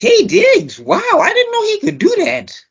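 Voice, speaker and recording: A man's voice: extremely high pitch, big pitch swings, a little slow, gravelly, a bit bright, muffled recording. A man co-hosting a casual podcast chat, laughing often and talking animatedly. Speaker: podcast co-host. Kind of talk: casual chat reviewing a cartoon.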